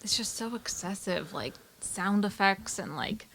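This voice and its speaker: A woman with a medium-pitched voice chats casually as a co-host. Her delivery is dry and understated, sounding largely unimpressed. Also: lively intonation, unhurried, fairly bright tone, a little hiss or hum. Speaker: co-host, first-time comic reader. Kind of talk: casual chat.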